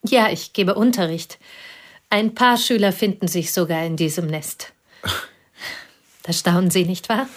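An actress playing a reserved piano teacher from a remote village in a radio drama, terse and dryly ironic. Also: female voice, fairly low pitch, measured pace, pauses often, close to an everyday voice. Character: reserved provincial female piano teacher, guarded and dry.

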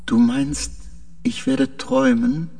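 A man voicing a confused, dreamy artificial mind of a spaceship in a radio play, speaking haltingly in short, simple sentences with a creaky voice. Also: mid-range voice, big pitch swings, mellow, faint music behind, exaggerated voice. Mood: guarded, uncertain. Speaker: radio drama actor. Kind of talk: German radio play dialogue acting.

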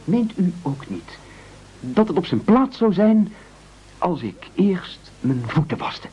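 A man's voice: high voice, big pitch swings, slow pace, breathy edge, heavily acted. An actor in a radio play playing a frightened, evasive castaway who stammers, fusses and ingratiates himself as he pleads. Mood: nervous bargaining. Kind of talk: absurdist radio play acting. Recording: muffled old radio play recording.

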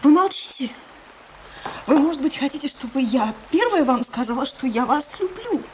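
An actress playing a passionate, anguished young princess in love, agitated and pleading, in a theatrical radio play. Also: female voice, high voice, big pitch swings, normal speed, noisy background.

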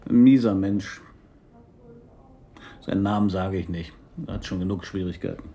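An actor playing a wry, nonchalant man recounting things in an ironic, unhurried way in a radio crime drama. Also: low-pitched, mellow, slight background noise, close to an everyday voice. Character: wry, nonchalant man, a slightly wounded shooting victim.

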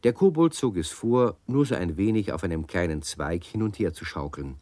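A man narrates a children's radio story in a deep voice with a lively, playful melody. The sound is dry and close. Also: normal speed, dry recording. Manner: warm, cozy storyteller, playful emphasis.

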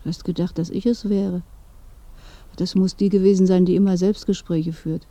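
A woman's voice: fairly low pitch, steady tone, moderate pace, no room sound, close to an everyday voice. An actress playing a dead old woman in a radio play, chatty, knowing and inquisitive. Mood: curious, drowsy. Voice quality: dark, creaky. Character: dead old woman, curious gossip in a grave. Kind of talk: radio drama dialogue.

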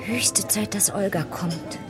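An actress playing an ironic, terse commentator who narrates in a scripted radio drama. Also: female voice, medium pitch, slow, in a whisper, lightly acted. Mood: sardonic. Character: ironic, sardonic female commentator voice. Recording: music under the voice.

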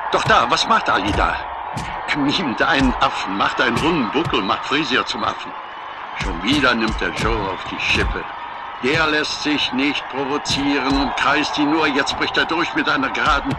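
Man as ringside fight commentator, dramatic, punchy, excited, mid-pitched, radio play, very noisy background. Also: moderate pace, close to an everyday voice. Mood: tense excitement. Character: excited ringside boxing commentator, vivid and suspenseful.

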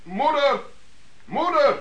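Eager young son, animated. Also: male voice, very high-pitched, slow pace, dull audio, heavily acted. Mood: excited, cheerful. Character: eager, cheerful young man, a son returning home. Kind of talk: radio drama acting.

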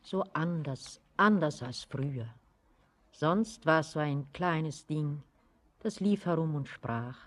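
Slow, low-voiced old grandmother. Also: expressive, full character voice. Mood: wistful. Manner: slow, musing, wistful. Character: pensive, melancholy old grandmother. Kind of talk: German radio play acting.

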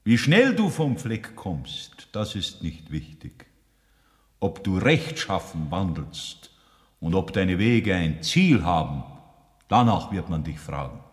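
A man's voice: low-pitched, sing-song. A man with a Bavarian accent narrating a 1960s radio play in a solemn, preachy sermon manner. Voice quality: solemn, preachy, slow and deliberate. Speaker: narrator preaching a moral tale. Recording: long hall reverb.

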